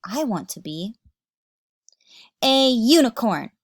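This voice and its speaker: A woman voicing a gentle, earnest young yeti boy in a children's storybook. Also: fairly high pitch, big pitch swings, slow pace, no room sound, studio quality, pitched above the performer's own voice, full character voice. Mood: excited, proud.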